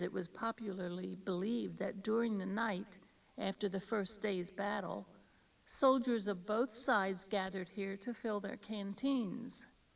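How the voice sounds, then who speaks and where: An American woman reading scripted tour directions in a measured, formal, instructional manner. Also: medium pitch, vocal fry. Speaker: audio tour guide giving directions. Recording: distant-sounding 1983 cassette tape.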